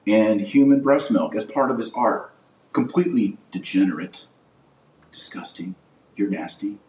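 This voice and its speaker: A man with a fairly deep voice delivers informal opinion commentary as a podcast host. He is blunt and indignant, hammering points home through repetition. The sound is echoey, with the voice set back from the microphone. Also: darkish tone. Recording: roomy echo, distant from the mic.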